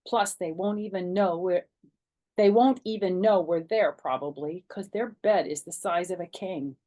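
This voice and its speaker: A woman plays an excitable little schoolgirl from a children's storybook. The delivery is anxious and emphatic, lifted high and pushed louder, with dramatic childlike phrasing. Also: a little slow. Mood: anxious, urgent. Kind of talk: animated children's storybook reading.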